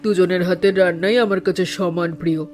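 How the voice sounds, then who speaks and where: A man voicing a calm, wise elderly mother-in-law in a cartoon, speaking in an even, advising tone. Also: sits high, fast talker, faint music behind, lightly acted. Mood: gentle, advising. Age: older. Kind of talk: cartoon voice acting.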